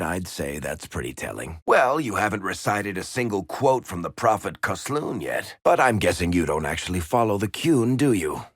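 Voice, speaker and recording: Wry dwarf smuggler from a video game, low voice, glib n teasing, really lively delivery, dry close sound. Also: male voice, no room sound, heavily acted. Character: wry dwarf rogue storyteller, shifty charming smuggler type. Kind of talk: video game character dialogue.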